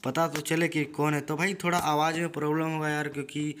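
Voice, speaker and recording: A hoarse young man gives rowdy, joking, trash-talking commentary over his own gameplay, recorded without a proper mic. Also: a little high, flat delivery, brisk, a bit bright, a little hiss or hum. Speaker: gaming YouTuber. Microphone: built-in device mic, no external mic.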